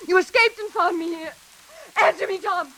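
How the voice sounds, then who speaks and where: High-pitched hysterical woman, old radio drama. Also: sharp tone. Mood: terrified, frantic. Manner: melodramatic, gasping, halting. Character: hysterical, guilt-ridden, terrified woman confessing to murder. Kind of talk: 1940s radio suspense acting. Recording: hissy old radio recording.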